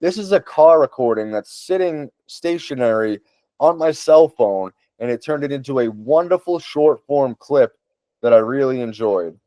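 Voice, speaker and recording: A man with a Boston accent talks casually and eagerly, doubling up words whenever he wants to stress a point. His voice sits at an ordinary middle pitch. The sound is close and dry, with no room around it. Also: animated, moderate pace, warm tone, no echo. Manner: enthusiastic, repeats words for emphasis. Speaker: podcast host. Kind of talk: casual tutorial talk.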